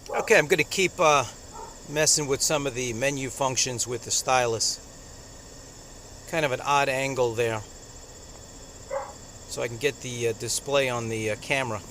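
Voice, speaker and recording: A man giving a casual hands-on product review outdoors, speaking in a halting, hesitant way. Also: mid-range voice, pushed and bright. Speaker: radio reviewer. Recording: outdoor noise, insects and traffic.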